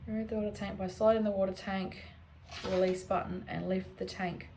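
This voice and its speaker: A woman with an Australian accent, croaky from allergies, chatting casually as she works through a DIY cleaning job at home. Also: mid-range voice, little pitch movement, a little fast, dark tone, a little hiss or hum, rough recording. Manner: thinking aloud, chatty, self-correcting. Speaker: homestead vlogger. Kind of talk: casual DIY vlog chat. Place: home, indoors.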